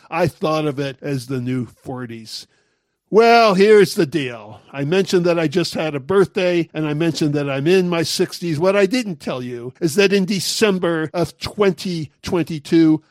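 A man's voice: a little high, measured pace, no echo, studio quality. A man of sixty-nine hosting a talk commentary monologue, making wry, sarcastic jokes. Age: 60s. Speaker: commentary host.

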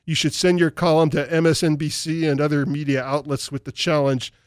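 A man's voice: on the high side, flat delivery, a little fast, clean tone, no room sound, studio quality. A man hosting a talk radio show, delivering opinionated commentary and reading listener emails aloud in an emphatic way. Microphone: studio microphone.

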